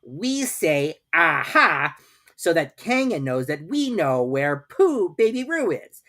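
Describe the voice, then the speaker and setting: A woman's voice: low voice, very animated, slow, fairly bright tone, voice pushed up, heavily acted. A woman voicing a bossy, self-important male rabbit who lectures emphatically and pompously in a storybook reading. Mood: scheming, bossy.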